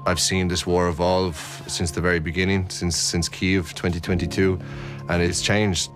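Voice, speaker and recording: Low, even-toned Irish man over faint music. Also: normal speed. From Ireland. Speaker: filmmaker being interviewed. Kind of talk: interview commentary.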